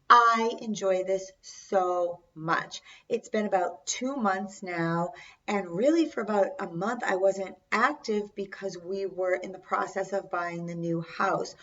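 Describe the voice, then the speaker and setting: A woman with a raspy, congested voice hosting a video, chatting casually to viewers with conversational, emphatic asides. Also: mid-range voice, a bit bright. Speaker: host of a video channel. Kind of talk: casual chat to viewers, recounting film trivia. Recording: distant mic, dull lo-fi sound.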